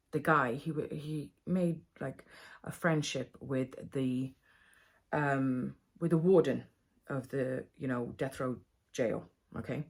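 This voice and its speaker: A woman speaks in a slightly low register. She talks straight to camera in a casual, confessional vlog style, candid and emotional, wandering from one thought to the next. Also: a little mellow. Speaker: vlogger.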